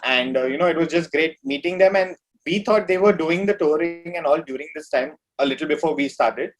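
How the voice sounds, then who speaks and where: A man chats as an interview guest in a fairly high voice. His delivery is casual and rambling, with thoughts strung loosely together. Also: brisk, soft background music, rough recording. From India. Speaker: metal musician, interview guest. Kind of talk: casual chat.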